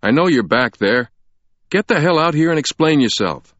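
A man's voice: mid-range voice, sing-song, normal speed, slightly rough, dry recording, voice pushed up. A man voicing an agitated, confused American male doctor in an audiobook, with demanding, incredulous delivery. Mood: angry. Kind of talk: audiobook character dialogue. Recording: dull, muffled audio.

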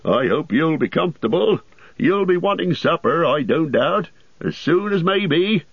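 A man voicing a bustling, forgetful, talkative middle-aged innkeeper in a storybook reading, in a high, hurried, fussy voice. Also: expressive, slightly rough, dry recording, highs cut off. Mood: chatty, harried.

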